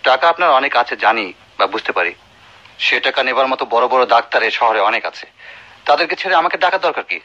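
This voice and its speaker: A man's voice: medium pitch, animated, a little fast, pushed and bright. A man playing a proud, weary doctor in a Bengali radio play, speaking formally, by turns brusque and musing. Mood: curt, indignant. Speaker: radio drama actor. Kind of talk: dramatic radio play dialogue and soliloquy. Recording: noisy, muffled old radio drama recording.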